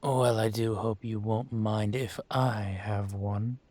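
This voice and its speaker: A man playing an aging dragon mafia boss in a roleplay audio drama, speaking in a smooth, deliberate, courtly manner with veiled menace. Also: lowish voice, fairly even intonation, darkish tone, dry recording. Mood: suave, courteous. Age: older.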